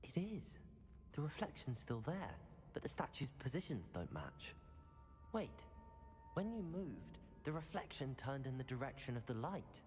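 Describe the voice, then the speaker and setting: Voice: medium pitch, big pitch swings, a little slow, darkish tone, long reverb tail, faint music behind. An actor playing a polite, observant young student wizard, earnest and inquisitive, in a video game. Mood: observant, intent. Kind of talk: video game character acting.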